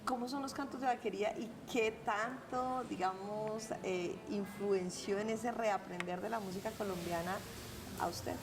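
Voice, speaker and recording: A woman's voice: medium pitch, steady tone, a little slow, noisy background. A woman hosting a television interview in a city café, asking her questions at length.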